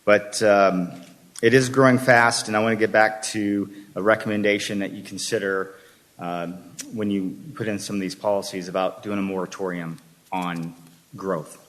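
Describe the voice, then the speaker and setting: An older man, raised in Texas, speaking during public comment at a city meeting and listing his points one by one in a measured way. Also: lowish voice, steady tone, fairly bright tone.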